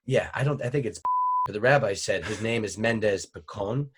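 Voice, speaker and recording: A man's voice: on the low side, moderate pace, fairly bright tone, slight background noise. A young man from Virginia, a comedian guest, telling stories with a wry tone in a casual podcast chat. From Richmond, Virginia, USA. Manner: wry storytelling with laughs.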